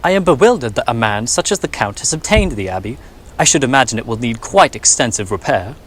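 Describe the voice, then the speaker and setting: Man playing a formal doctor, lively inflection. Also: medium pitch, close to an everyday voice, rough recording. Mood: firm, formal. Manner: formal, measured, commanding. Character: formal, authoritative Victorian doctor and worried father. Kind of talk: stage radio drama acting.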